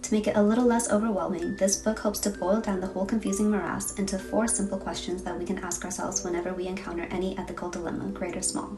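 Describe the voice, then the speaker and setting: A woman's voice: medium pitch, flat delivery, fast talker, hardly any pauses. Woman chatting from a distance over music. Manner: chatty, tired, self-deprecating. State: hoarse, tired voice. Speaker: vlogger. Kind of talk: casual diary vlog chat. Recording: distant mic with loud music behind.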